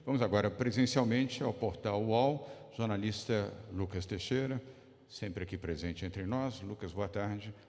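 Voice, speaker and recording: A man's voice: lowish voice, a little mellow. A man moderating a press conference, courteously calling on journalists. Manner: courteous, brisk announcing. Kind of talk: moderating questions. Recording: reverberant hall.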